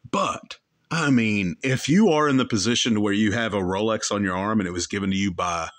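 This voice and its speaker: A man's voice: on the low side, a little fast. A man hosting a podcast, giving rambling, opinionated commentary on a comedy show clip and correcting himself as he goes. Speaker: podcast host reacting to a clip. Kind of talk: casual commentary.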